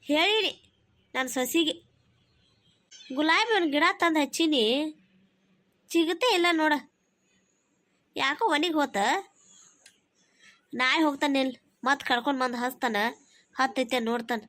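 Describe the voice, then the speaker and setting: Chatty village mother-in-law woman performer for cartoon comedy: sharp high voice, dry sound, fretful, North Karnataka accent. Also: unhurried, pauses often, dry recording. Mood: fretful, busy.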